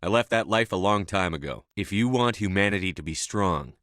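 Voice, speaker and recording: A man's voice: low voice, animated, a little fast, fairly bright tone, no echo, clean studio sound. A male actor voicing a stern, commanding military officer in a science fiction video game, speaking with firm, clipped authority. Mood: firm, assertive. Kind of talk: video game voice acting, dramatic dialogue lines.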